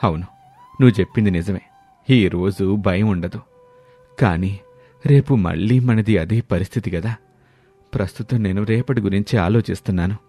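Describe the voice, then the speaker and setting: Low, mellow man in a gentle storybook voice. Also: moderate pace, pauses often, breathy edge, faint music behind. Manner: earnest, gentle reassurance. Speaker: voice actor playing the husband. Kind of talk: dramatized storybook dialogue.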